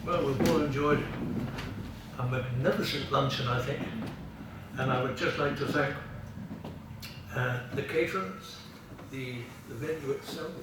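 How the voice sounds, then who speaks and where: An older man with a Scottish accent, a veteran actor giving a halting, rambling after-dinner vote of thanks with theatrical emphasis. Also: mid-range voice. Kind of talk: after-dinner speech with anecdotes. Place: large room at a club luncheon. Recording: very echoey room from far off, noisy.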